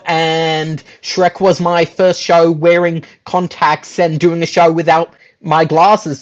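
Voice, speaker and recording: Slow, higher-pitched man. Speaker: guest, musical theatre performer. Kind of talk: casual interview talk. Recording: dull, lo-fi sound.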